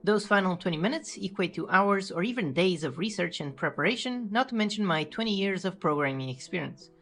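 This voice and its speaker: Woman, scripted video narrator, explanatory delivery, mid-range pitch, faint music behind. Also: expressive, a little fast. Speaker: video host and game developer. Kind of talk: scripted tutorial devlog narration. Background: background music.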